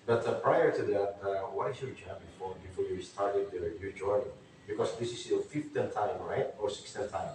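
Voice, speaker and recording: A man's voice: on the low side, moderate pace, a little mellow. A man interviewing a guest, asking short, hesitant questions in a casual style. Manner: brief backchannels and hesitant questions. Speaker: interviewer, motovlogger. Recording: echoey, distant mic with faint noise.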